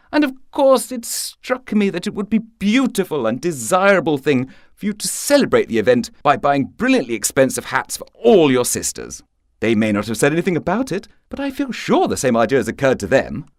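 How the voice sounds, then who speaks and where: Man putting on a bright, high-pitched chatty young woman. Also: wildly swooping pitch, fairly quick, no room sound, clean studio sound. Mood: breezy, amused. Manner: breezy, witty, talkative. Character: brisk, chatty, self-possessed young woman milliner.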